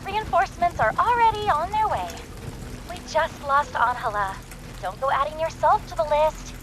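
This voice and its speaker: A protective, sarcastic comrade from a video game. Her voice is high and animated, blunt in delivery, with clear noise behind it. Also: moderate pace. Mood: exasperated, concerned. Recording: clear background noise over dialogue.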